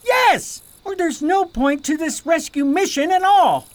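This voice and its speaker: A woman's voice: extremely high pitch, unhurried. A woman playing an earnest, anxious and awkward young prince in a radio play. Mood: frantic, insistent. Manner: earnest, excitable, hesitant when shy. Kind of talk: radio theater acting.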